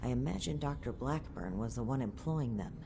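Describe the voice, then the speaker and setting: A woman playing an earnest, principled military officer in a video game, speaking formally and deliberately. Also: rumbling low, expressive, full character voice. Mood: serious, analytical. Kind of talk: scripted game dialogue. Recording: clear background noise, game ambience.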